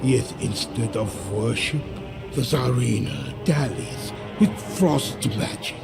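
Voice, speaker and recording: An actor playing a fanatical, anguished cult patriarch in a video game cinematic, gravelly, brooding and theatrical. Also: male voice, medium pitch, slow, over music. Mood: mournful. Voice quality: gravelly, creaky. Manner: theatrical, brooding, swelling with fervour.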